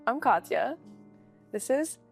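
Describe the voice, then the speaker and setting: A woman's voice: high voice, big pitch swings, slow, gravelly, soft background music. A young woman interviewing an artist in a gallery, in a friendly, upbeat conversational manner. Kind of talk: casual interview. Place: art gallery.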